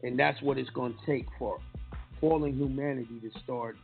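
A man's voice: medium pitch, steady tone, normal speed, gravelly. A man with a New York accent hosting a talk radio show, blunt and profane in his opinions. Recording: lo-fi phone-line sound with faint music.

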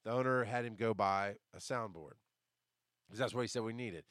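A man hosting a podcast, telling a story in casual, profane, animated fashion and acting out what people said, with a deep, bass-heavy voice. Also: moderate pace, no room sound, clean studio sound. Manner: animated, profane, acts out quoted lines. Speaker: podcast host.

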